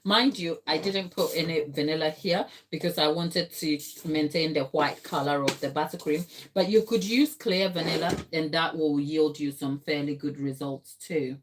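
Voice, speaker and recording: A woman's voice: sits low, normal speed, few pauses, background noise. A woman from Ghana hosting a live cake tutorial from her kitchen, explaining steps and answering viewers in the chat. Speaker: baking channel host. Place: home kitchen.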